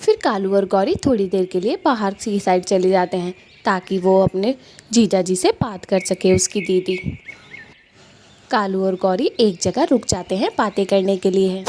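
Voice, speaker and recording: Female cartoon story narrator, medium pitch, slightly noisy recording. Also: unhurried, a little mellow.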